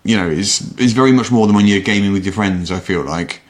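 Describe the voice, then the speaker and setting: A man's voice: lowish voice, a little fast. A British man, a podcast host, talking in a casual, conversational way.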